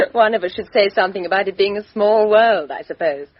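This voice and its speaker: An actress playing a sharp, cool, well-bred young Englishwoman with an upper-middle-class English accent, clipped and sardonic, in a radio mystery drama. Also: mid-range voice, normal speed, dull audio, a little hiss or hum, natural voice. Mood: brisk, evasive.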